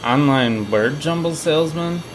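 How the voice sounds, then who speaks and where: An actor playing a vain, oblivious brother in a comedic audio drama, breezy and teasing. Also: male voice, fairly high pitch, measured pace, close to an everyday voice. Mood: dismissive. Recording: noisy ambience behind the voice.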